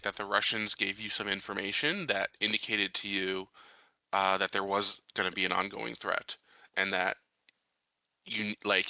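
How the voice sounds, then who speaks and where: A man's voice: sits low, bright, forceful tone, dry recording, rough recording. A man playing a tabletop game, suggesting strategy to the other players in casual chat.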